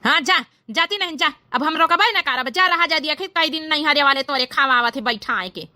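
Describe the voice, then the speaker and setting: A woman's voice: high voice, fairly quick, sharp tone, dry recording. A woman voicing an exasperated village husband in a comedy cartoon, scolding and grumbling in an Awadhi accent. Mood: indignant. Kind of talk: exaggerated cartoon comedy dialogue.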